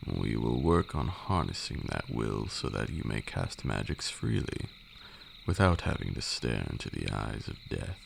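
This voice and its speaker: Man acting out a very deep, gravelly vampire lord. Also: exaggerated voice. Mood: stern, haughty. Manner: condescending, formal archaic speech, drawn-out delivery. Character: ancient haughty male vampire lord, stern yet teasing mentor. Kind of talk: ASMR roleplay monologue. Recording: steady noise with night insects. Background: crickets and night insect ambience.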